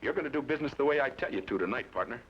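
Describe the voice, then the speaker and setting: An actor playing a tough outlaw who talks tersely and gives orders, in a 1940s radio drama. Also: male voice, medium pitch, expressive, rapid-fire, slight background noise, lightly acted. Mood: commanding. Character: tough outlaw gunman, adult man, blunt. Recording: dull old radio, heard from a distance, music behind.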